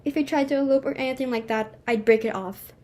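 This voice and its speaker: A woman's voice: high-pitched, fast talker, dark tone, close to an everyday voice. A woman playing a flustered, imperious society hostess and mother in a dramatic reading of a stage comedy, emphatic and exclamatory. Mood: indignant, defiant.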